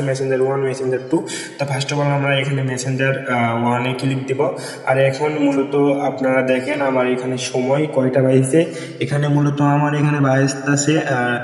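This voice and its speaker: A man with a Bangladeshi accent hosting an informal step-by-step screen tutorial, repeating his instructions and mixing in English tech terms. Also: a little high. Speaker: tutorial video host. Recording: echoey, reverberant, distant from the mic.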